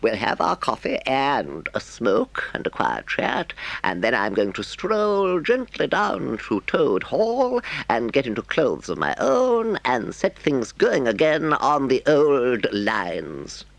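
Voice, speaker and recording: A man voicing a conceited, excitable toad gentleman in an audiobook, pompous and plummy, drawing out his exclamations. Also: mid-range voice, slow pace, creaky, hardly any pauses, pitched above the performer's own voice, exaggerated voice. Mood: humble, airy. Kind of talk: audiobook character voice.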